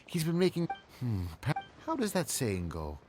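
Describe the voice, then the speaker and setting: A woman playing a polite, mildly apologetic shopkeeper in a video game, pausing to think, with music behind her. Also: low-pitched, huge pitch swings, noisy background. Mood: thoughtful. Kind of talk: video game character acting.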